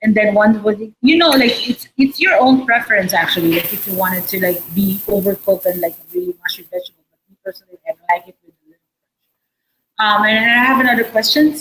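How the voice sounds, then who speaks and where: A woman chef with a Filipino accent giving a casual cooking demonstration in an informal, halting, explanatory way. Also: mid-range voice, a bit bright, poor audio. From Philippines. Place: indoor restaurant space behind a counter. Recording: noticeable background noise and room ambience.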